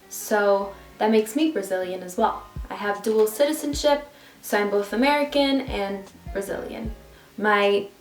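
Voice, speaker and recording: A woman in her twenties from New York City, chatting casually and rambling with asides in a get-to-know-me vlog recorded on a phone at home. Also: mid-range voice. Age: 20s. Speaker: vlogger. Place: at home, indoors. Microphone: phone camera. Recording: roomy room with faint background music.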